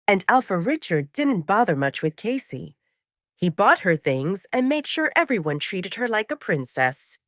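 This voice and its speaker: Female audiobook narrator for serial fiction: slightly low register, highly varied intonation, measured dramatic storytelling. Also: no echo, studio quality.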